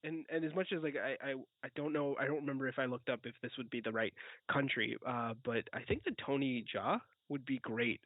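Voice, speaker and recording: A man talks quickly in a casual co-hosted chat, rambling and doubling back on his words. The pitch is average. The sound is dry and squeezed like a phone call. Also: dry recording. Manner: rambling, halting, repeats words. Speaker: podcast co-host. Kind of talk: casual chat, film discussion. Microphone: remote online call.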